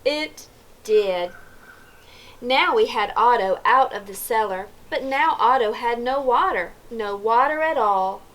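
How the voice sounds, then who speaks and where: A woman reading a story aloud to young children in an expressive, deliberate way, inviting them to join in. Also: a little high, low-quality recording. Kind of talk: read-aloud storytelling for preschoolers.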